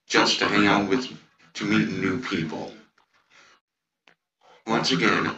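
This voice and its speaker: A man playing a gentle, friendly monster who reassures the public in a halting, repetitive, informal speech. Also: on the low side. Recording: muffled, roomy, distant mic, crowd noise.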